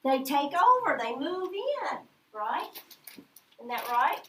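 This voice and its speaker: A woman's voice: high-pitched, sing-song, takes it slowly, sharp tone, a little hiss or hum. A middle-aged woman from Oklahoma teaching a class, chatty and checking in with her students as she goes. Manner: chatty, checks in with "okay?" often.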